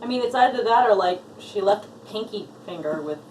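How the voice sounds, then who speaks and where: A woman's voice: medium pitch, lively intonation, a little fast, a little mellow, a little hiss or hum. A woman giving casual, wry live commentary as co-host while watching a show. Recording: distant mic, TV music clearly behind. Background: television show playing with music.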